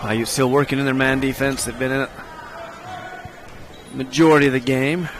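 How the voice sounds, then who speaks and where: A man giving color commentary at a high school basketball game, explaining spacing, screens and rebounds like a coach. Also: fairly even intonation, normal speed, over music. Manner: coach-like breakdown of plays and spacing. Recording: game broadcast with gym noise. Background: crowd and court noise of the game.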